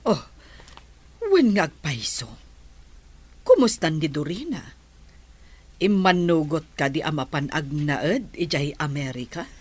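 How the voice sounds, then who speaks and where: An actress playing a kind middle-aged friend in a radio drama who gives gentle advice. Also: female voice, low voice, expressive, a little slow, pauses often, slightly rough, dry recording, full character voice. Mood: curious. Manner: gentle, advising.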